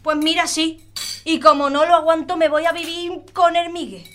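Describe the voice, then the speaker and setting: Woman playing sassy high-voiced Andalusian student daughter. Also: steady tone, moderate pace. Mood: exasperated. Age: 20s. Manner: slangy, sarcastic, huffy. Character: sassy, defiant twenty-year-old student daughter. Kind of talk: audio drama family sitcom acting. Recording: clinking dishes and cutlery noise.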